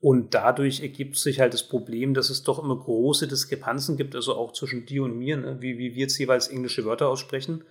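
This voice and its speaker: A man's voice: mid-range voice, steady tone, brisk, hardly any pauses. A man, hosting a podcast and explaining things in a casual, conversational way. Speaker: podcast host.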